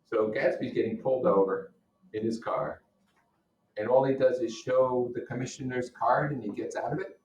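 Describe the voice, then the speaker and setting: A man's voice: medium pitch, moderate pace, a little mellow, lo-fi sound, slight background noise. A man teaching, reading a novel aloud in an informal way with blunt asides. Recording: roomy echo, distant microphone.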